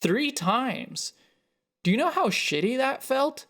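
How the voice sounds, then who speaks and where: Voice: sits high, big pitch swings, fairly bright tone, pitched above the performer's own voice, heavily acted. A narrator voicing a defensive, frustrated adult photographer arguing with a parent in a short story. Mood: angry, hurt. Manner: halting, then heated.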